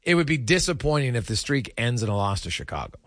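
A man hosting sports radio, talking casually about hockey and thinking aloud in a halting, rambling way. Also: medium pitch, sing-song, a bit bright, no room sound, studio quality.

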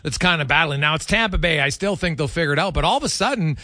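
A man's voice: on the high side, animated, fast, sharp tone, dry recording. A man hosting a sports radio interview in a casual, chatty way, often repeating phrases as he thinks aloud. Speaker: sports radio show host.

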